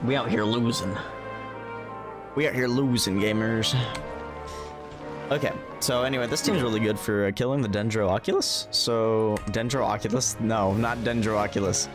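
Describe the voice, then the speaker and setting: A man's voice: on the low side, normal speed. A tired man giving casual livestream commentary on a game, laid-back, with sarcastic asides and mild swearing. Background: game music.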